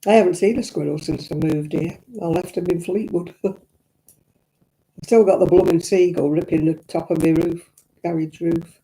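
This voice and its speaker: An older woman with a croaky voice, chatting and rambling to viewers while she knits on a live stream. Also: fairly low pitch, steady tone, moderate pace, mellow. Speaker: crafting livestream host. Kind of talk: casual chat to live viewers. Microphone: own simple mic, live stream. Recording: crackly audio.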